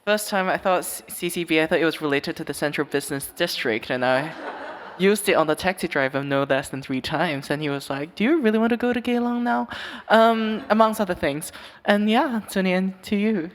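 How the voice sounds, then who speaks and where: A woman's voice: lowish voice, a little fast. A woman moderating a panel talk, handing questions to speakers and closing with wry jokes. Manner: wry, joking asides.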